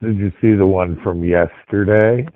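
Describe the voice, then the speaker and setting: A man calling into a show, laid-back and unhurried as he talks about a sighting. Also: low-pitched, steady tone, dry recording. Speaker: caller. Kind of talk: casual phone call. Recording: dull dry phone audio.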